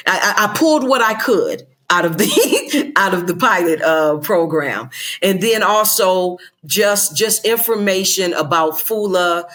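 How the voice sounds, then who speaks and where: A woman's voice: mid-range voice, lively intonation, a little slow, a bit bright. An older woman, a guest on a podcast, talking animatedly and laughing often. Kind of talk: casual interview chat.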